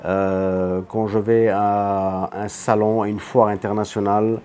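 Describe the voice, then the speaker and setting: Earnest Senegalese man, mellow voice. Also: on the low side, little pitch movement, slow pace, smooth. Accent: Senegalese French. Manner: earnest, emphatic, repeats words. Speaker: guest, motorbike shop owner. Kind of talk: interview answers.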